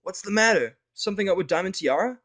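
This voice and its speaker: Brash, headstrong pony girl, bossy and righteous, high forceful delivery male actor, for fan audio drama. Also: lively intonation, fast, heavily acted. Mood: demanding. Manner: forceful, scolding, exclamatory. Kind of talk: fan fiction audio drama character acting.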